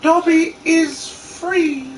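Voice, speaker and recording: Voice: extremely high pitch, fairly even intonation, drawn-out words, a crowd in the background, pitched above the performer's own voice, full character voice, poor audio. A reader voicing a small, eager, servile male house elf who speaks of himself in the third person in an amateur fan fiction reading. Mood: triumphant.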